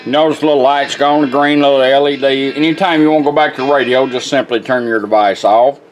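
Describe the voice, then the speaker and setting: A man's voice: mid-range voice, steady tone, a little fast, music in the background, poor audio. A plainspoken, folksy man giving a casual product demonstration as a radio restorer. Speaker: radio restorer presenting his work.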